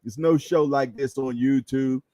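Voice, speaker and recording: A man's voice: mid-range voice, fairly even intonation, unhurried, dark tone, no room sound. Male talk show host, dry close sound. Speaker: host of a boxing panel show. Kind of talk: casual live panel chat.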